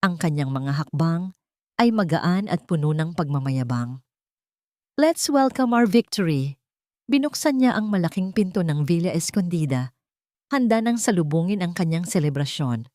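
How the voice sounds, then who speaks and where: A woman's voice: fairly low pitch, expressive, normal speed, a little mellow, dry recording, clean studio sound. A woman with a Filipino accent narrating a scripted dramatic story.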